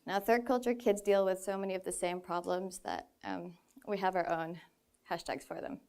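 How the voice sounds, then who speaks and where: A woman's voice: medium pitch, steady tone, moderate pace, creaky, warm tone, clean tone. A woman in her twenties from the Philippines, giving a prepared stage talk in an earnest way with a few light laughs at herself. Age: 20s. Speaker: speaker. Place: stage in a large hall.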